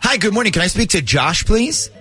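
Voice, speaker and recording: Morning-show host, male, jokey and talkative, animated delivery on the high side. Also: fairly quick, husky, soft background music. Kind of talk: radio talk banter and prank call.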